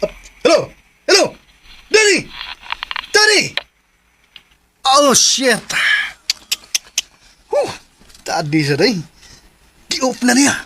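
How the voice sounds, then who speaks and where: A man playing an excitable, anxious son in a Filipino radio drama, with a Cebuano accent. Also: very high-pitched, extremely slow, long pauses, a little hiss or hum, exaggerated voice. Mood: alarmed.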